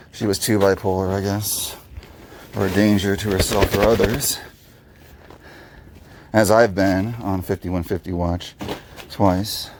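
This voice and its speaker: A man in his thirties with a raspy, low voice, talking candidly and rambling with many restarts while doing yardwork outdoors. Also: a little mellow. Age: 30s. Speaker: vlogger. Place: outdoors in a yard.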